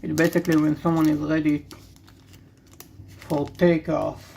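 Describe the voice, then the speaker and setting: Casting: young Israeli man, native Hebrew speaker, gravelly fry, unhurried halting delivery, candid rambling hobby-video host. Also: fairly high pitch, level tone, warm tone, slight background noise. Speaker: card-opening video host. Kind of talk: casual rambling commentary while opening cards.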